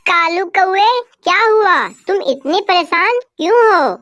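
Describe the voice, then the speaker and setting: A woman's voice: very high-pitched, lively intonation, a little slow, a bit bright, dry recording, heavily acted. A woman voicing a small female bird in a children's moral cartoon, speaking in simple, declaring phrases. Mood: concerned. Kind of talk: Hindi children's cartoon dialogue.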